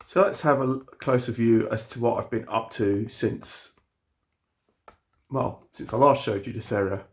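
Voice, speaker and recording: A man's voice: mid-range voice, measured pace, creaky. A man with a cold, sounding sniffly, casually walking viewers around his model railway layout with lots of fillers and restarts. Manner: rambling, many fillers and restarts. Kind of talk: casual walkthrough video. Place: indoor hobby room with the layout.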